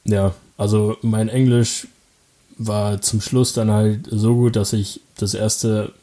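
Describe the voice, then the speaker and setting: A young man from Germany answering interview questions casually, halting often with fillers, restarts and quick laughs. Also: on the low side, level tone, mellow.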